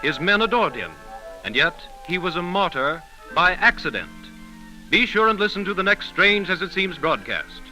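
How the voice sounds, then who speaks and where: A man's voice: high-pitched, sharp tone, rough recording. A man doing scripted 1930s radio announcing on a vintage recording. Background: music.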